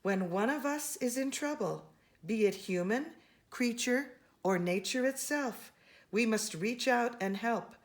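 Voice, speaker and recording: A woman reading a children's picture book aloud, expressively and with deliberate emphasis on key words. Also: fairly high pitch, expressive. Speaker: storybook reader.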